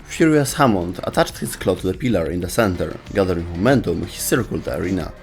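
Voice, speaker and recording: Man, low pitch, lively varied intonation, scripted narration, light background music. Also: quick pace, gravelly. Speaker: narrator of a game lore video.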